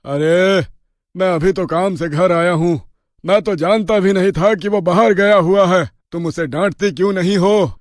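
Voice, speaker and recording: A man's voice: sits high, measured pace, no room sound, clean studio sound. An actor playing a worried, indignant village father in a cartoon story, anxious in manner.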